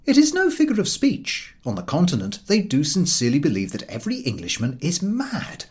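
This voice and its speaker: A man reading comic literature aloud in an expressive, theatrical storytelling manner. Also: fairly high pitch, brisk. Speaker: audiobook reader.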